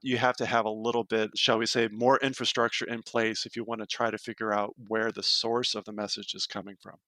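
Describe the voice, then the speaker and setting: Male podcast panelist, bright punchy tone, very dry sound, casual commentary. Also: on the low side, level tone, brisk, dry recording. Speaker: podcast panelist, military veteran.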